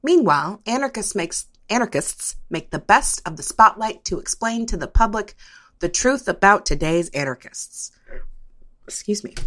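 A woman presenting a podcast, reading a scripted opinion piece in a measured, questioning manner. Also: mid-range voice, bright, forceful tone.

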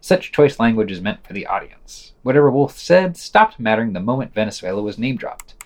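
A man's voice: mid-range voice, sing-song, a little fast. A man presenting a podcast, reading scripted reviews with dry commentary. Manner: reads quotations aloud, marking quote and unquote.

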